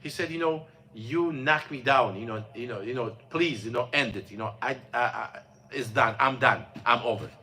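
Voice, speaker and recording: Bright-voiced man telling a story. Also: medium pitch. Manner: storytelling, halting with many fillers and restarts. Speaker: imam. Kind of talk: mosque class talk. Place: mosque classroom.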